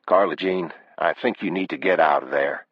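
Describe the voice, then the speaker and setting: A man's voice: extremely low pitch, normal speed, breathy edge, dry recording, lo-fi sound. A man playing a stubborn, guarded working man from the American South, speaking tersely in an audiobook. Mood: terse insistence.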